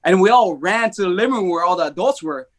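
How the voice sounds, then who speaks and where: A man who grew up in the Philippines, a podcast guest telling childhood stories in an animated, rambling way with frequent restarts. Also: high-pitched, fast. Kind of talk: casual storytelling.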